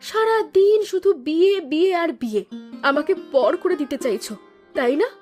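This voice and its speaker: A devoted young village daughter in a cartoon folk tale. Her voice is very high, emotive and insistent, with clear music underneath. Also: very animated, normal speed, a little mellow. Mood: scolding, caring. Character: devoted, stubborn young village daughter who sells chillies. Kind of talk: animated Bengali folk tale voice acting. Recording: music behind the voice.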